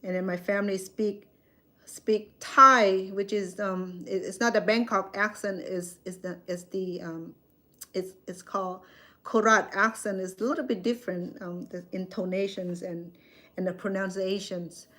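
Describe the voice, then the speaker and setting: A woman's voice: medium pitch, fairly even intonation, unhurried, sharp tone. A woman, a Khmer speaker chatting casually with viewers on a live stream from her phone, rambling and correcting herself as she goes. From Cambodia. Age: 60s.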